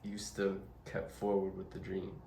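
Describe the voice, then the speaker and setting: A man's voice: deep voice, monotone, moderate pace, gravelly, dark tone, a little hiss or hum. A man hosting an interview, asking questions and summing up the guest's answers back to him. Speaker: interviewer host. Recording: roomy, distant mic with faint ambience.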